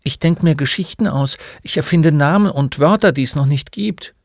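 A man's voice: fairly high pitch, normal speed, no room sound, studio quality, voice pushed up, lightly acted. A man playing a shy, bullied schoolboy in a storybook, speaking in a meek, hesitant way. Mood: downcast. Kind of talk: storybook character voice.

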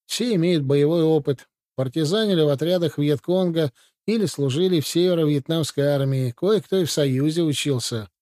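A brisk, commanding Soviet officer, read by a man in a matter-of-fact way. The tone sits a touch high yet mellow, and the recording is dry and close. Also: no echo, lightly acted. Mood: briefing. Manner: matter-of-fact briefing delivery. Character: male Soviet military adviser, brisk and commanding. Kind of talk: audiobook reading.

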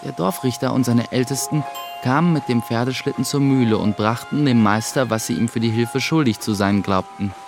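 A man playing an earnest young sorcerer's apprentice who narrates his own story in a measured way for a radio play. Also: mid-range voice, lightly acted. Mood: narrating, measured. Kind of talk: scripted first-person narration in German radio play. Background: music.

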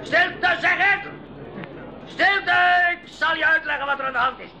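A shrill, bellowing pirate captain. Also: male voice, measured pace, pushed and bright, heavily acted. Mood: shouting orders. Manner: barking orders, blustering, commanding. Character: bossy, bellowing male pirate captain, gullible and brash. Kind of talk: 1980s school radio drama acting. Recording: noisy 1980s radio drama recording.

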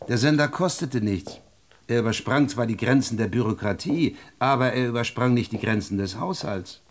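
A man's voice: mid-range voice, normal speed, slight background noise, close to an everyday voice. Male, mid register, acting: 1920s state secretary for radio drama, formal, persuasive, sure of himself. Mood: insistent, confident.

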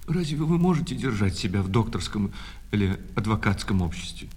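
Bitter, servile shop clerk, reverberant. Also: male voice, lowish voice, lively intonation, fairly quick, a little mellow, husky. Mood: bitter, reproachful. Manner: servile sales patter alternating with reproachful, insistent talk. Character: lovesick, bitter shop clerk putting on polite salesman patter. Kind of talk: Russian radio play of a classic short story. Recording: long reverb with music behind.

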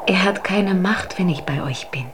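A woman playing a tender, devoted water spirit who pleads in soft, breathy whispers. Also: lowish voice, normal speed, background noise, lightly acted. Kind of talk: radio drama acting.

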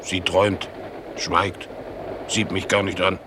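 A low-pitched, naive and excitable bulldog in a radio play. He tells of his household expressively, mimicking the people he quotes. A vehicle rumbles clearly behind the voice. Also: slow, noisy background. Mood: puzzled, restless. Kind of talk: German radio play acting.

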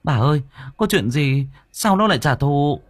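A man's voice: a little high, expressive, normal speed, no room sound, voice pushed up. A man doing a timid, frightened servant girl. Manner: meek, frightened.

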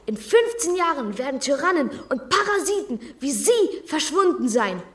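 A woman's voice: sits high, very animated, normal speed, distant mic. A woman playing a precocious, insolent schoolboy in a radio drama, clever, cheeky and defiant, prone to stammering. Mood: cool defiance.

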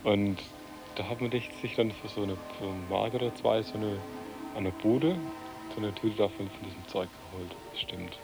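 A man's voice: on the low side, steady tone, moderate pace, gravelly, music in the background, poor audio. A man from southern Germany reminiscing in a casual, conversational way. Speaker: interviewee.

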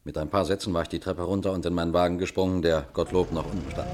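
A man playing a composed colonel who narrates and acts in a radio mystery, telling it in a measured way. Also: sits low, steady tone, darkish tone, a little hiss or hum, natural voice. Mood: composed storytelling. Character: composed male colonel narrating his own mystery case.

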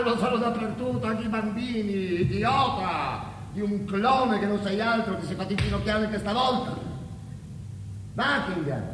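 A man's voice: sits high, noisy background. An actor playing a haughty, scornful male guardian in a theatrical radio drama, declaiming in mocking, old-fashioned phrases. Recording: echoey hall, distant, music behind.

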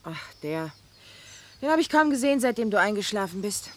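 A woman's voice: mid-range voice, very animated, a little fast, lightly acted. An actress playing a defensive, anxious married woman in a German radio play, pleading and emphatic.

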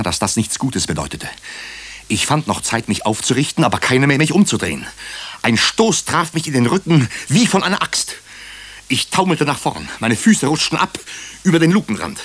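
A man playing a nervous doctor who narrates his own night-time ordeal in a suspenseful, deliberate way for a radio crime drama. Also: medium pitch, fairly bright tone, breathy edge, heavily acted. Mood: frantic, alarmed.

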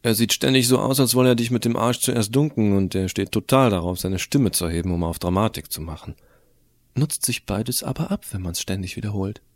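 A man's voice: on the low side, brisk, dry recording, natural voice. A man playing a cocky, irreverent teenage schoolboy who narrates his own story in a sardonic, colloquial way in an audio drama. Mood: mocking. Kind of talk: first-person scripted narration, fan audio drama.

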